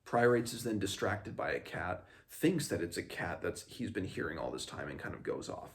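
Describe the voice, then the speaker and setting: Male host for a fantasy book recap, low creaky voice, casual and halting delivery. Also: very animated. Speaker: host of a book read-along. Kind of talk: casual book recap with read-aloud passages.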